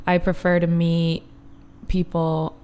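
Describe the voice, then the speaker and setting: Lively thirty-year-old woman telling stories. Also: on the low side, takes it slowly, clear, resonant tone. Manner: animated storyteller, acts out quoted people, laughs often. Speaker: podcast guest. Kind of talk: casual chat.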